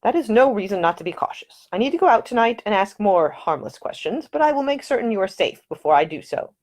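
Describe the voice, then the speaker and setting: A woman voicing a gallant, flattering gentleman spy, speaking in a smooth, courtly and measured way. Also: medium pitch, voice pushed up. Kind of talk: audiobook character dialogue.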